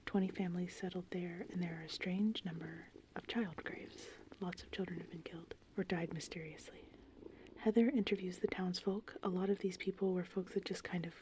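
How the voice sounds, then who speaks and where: Woman in her 30s doing whispery asmr rambles, lowish soft breathy voice, gentle rain and storm noise behind. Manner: rambling, informal. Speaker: ASMR channel host. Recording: steady rain and thunderstorm ambience behind. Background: gentle rain and thunder.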